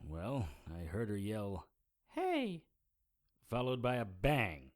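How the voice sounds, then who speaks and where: An actor playing a wry, hard-boiled supernatural investigator in an audio drama, with deadpan, sardonic noir delivery. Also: male voice, fairly low pitch, slow, full character voice. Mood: teasing, dry.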